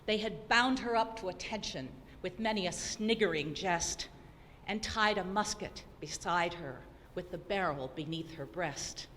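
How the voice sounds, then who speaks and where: A woman giving a dramatic poetry recitation, theatrical and deliberate, swinging between hushed and declamatory delivery. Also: on the low side. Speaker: poetry performer. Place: opera house hall, live performance. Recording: noisy recording in a reverberant hall.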